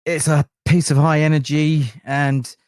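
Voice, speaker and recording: A man's voice: a little high, little pitch movement, measured pace, darkish tone, clean tone, no echo, studio quality. A man giving conversational interview answers as a band member. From England. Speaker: band keyboardist and backing singer.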